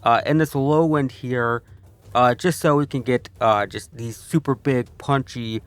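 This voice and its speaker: A man's voice: medium pitch, a little slow, clean tone, dry recording, faint music behind. Congested man talking through a deck guide. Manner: relaxed, rambling, frequent ums and restarts. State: congested from illness. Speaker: video host. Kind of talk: casual gameplay deck guide.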